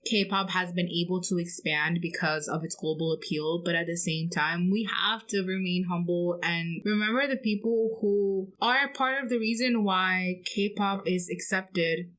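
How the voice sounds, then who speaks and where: A woman's voice: lowish voice, measured pace, hardly any pauses, a bit bright. Woman co-hosting a casual podcast. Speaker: podcast co-host. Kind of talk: casual opinionated podcast chat.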